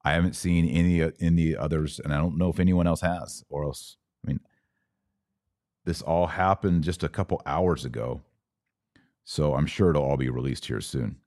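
A man's voice: very deep voice, steady tone, normal speed, a little mellow, dry recording, clean studio sound. A man with an American accent hosting a live commentary podcast, conversationally reading out viewer comments, posing questions and thinking aloud. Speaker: podcast host.

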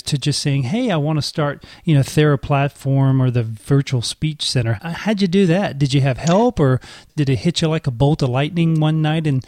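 Male podcast host, 40s, mid-pitched, jokey and relaxed, dry close mic. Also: no room sound. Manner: easygoing and joking, asks long rambling questions. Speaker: podcast host, speech therapist. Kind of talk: casual interview.

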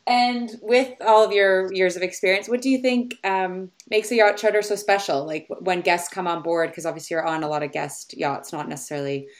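A woman's voice: lowish voice, a little fast, few pauses. A Canadian woman hosting a podcast interview, asking friendly, rambling questions in a conversational way. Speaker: podcast host.

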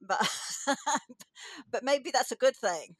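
A woman's voice: high-pitched, expressive, slow, breathy edge, no room sound. A woman hosting an interview, prompting her guests with questions and frequent short acknowledgements. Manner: warm-toned prompting, frequent backchannels. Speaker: host.

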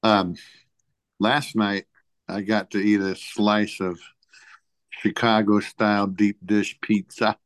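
A man with a fairly low voice co-hosts a podcast. He talks casually and tells everyday anecdotes in a plain conversational way, recorded close with very little room sound. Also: little pitch movement, slow pace, long pauses, no room sound. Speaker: podcast co-host.

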